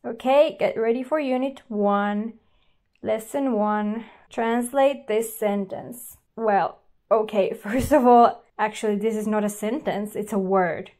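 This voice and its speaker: A woman's voice: medium pitch, measured pace, warm tone. A woman with a Finnish accent, a native Finnish speaker and teacher, reviewing a language app and explaining as she goes. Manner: explains and comments while trying the app. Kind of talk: app review, talking to camera.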